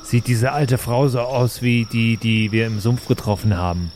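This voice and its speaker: A man's voice: medium pitch, fairly even intonation, moderate pace, darkish tone. Male tabletop player, mid-range voice, casual in-character improvisation, chirping night noise behind him. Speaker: tabletop role-play player. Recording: clear background noise, chirping night ambience.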